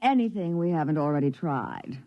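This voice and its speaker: Sultry bored passenger, low voice, swooping coy intonation, languid, dull vintage radio drama sound. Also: female voice, expressive, brisk, no echo. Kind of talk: 1950s radio drama acting.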